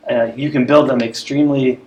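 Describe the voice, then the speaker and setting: A man's voice: medium pitch, fairly even intonation, brisk, a little mellow. A man on a school's athletics staff speaking earnestly at a committee meeting without a microphone, often repeating words as he builds his points. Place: meeting room. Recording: roomy meeting room, faint background hum.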